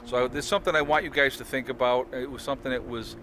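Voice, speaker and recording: A man's voice: medium pitch, steady tone, rapid-fire, noisy background. Rambling man vlogging outdoors. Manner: rambling, many fillers and restarts. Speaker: homesteading vlogger. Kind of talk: casual talk to camera. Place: outdoors in woods on a sunny day. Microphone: phone or camera held at arm's length.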